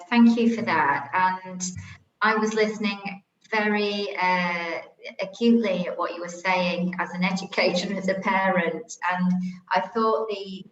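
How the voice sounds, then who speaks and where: A woman moderating a school webinar, polite and a little hesitant. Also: medium pitch. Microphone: video call. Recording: echoey, distant, dull call audio.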